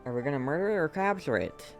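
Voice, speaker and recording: A man's voice: fairly high pitch, big pitch swings, fast. A man giving casual gameplay commentary, laid-back and musing aloud to himself. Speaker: game streamer. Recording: game music behind.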